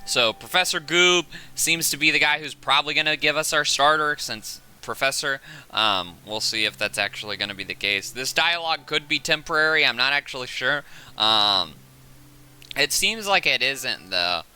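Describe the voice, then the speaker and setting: A man giving casual, unscripted commentary as the host of a game footage breakdown, excitable and often correcting himself. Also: medium pitch, normal speed, clear, resonant tone.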